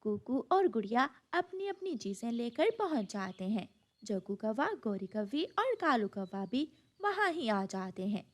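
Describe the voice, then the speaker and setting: High-pitched, lively woman story narrator. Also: measured pace. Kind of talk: scripted children's story narration.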